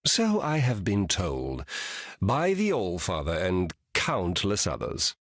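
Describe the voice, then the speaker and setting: A scheming trickster god from a video game, theatrical and sardonic. His low voice swings widely in pitch. The sound is dry and close. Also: wildly swooping pitch, takes it slowly, dry recording, heavily acted. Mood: sly. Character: sly scheming male trickster god, ironic.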